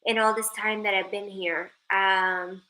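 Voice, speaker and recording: A woman's voice: mid-range voice, little pitch movement, a little slow, bright, forceful tone, rough recording. A young woman from Sweden chatting casually with viewers on a livestream, joking playfully and reading out their comments. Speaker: live streamer.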